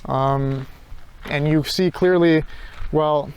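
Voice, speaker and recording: A man's voice: a little high, fairly even intonation, takes it slowly, a little mellow, smooth. A man thinking aloud in an unscripted reflective monologue, hesitant and searching, often circling back over his phrases. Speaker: philosophy vlogger.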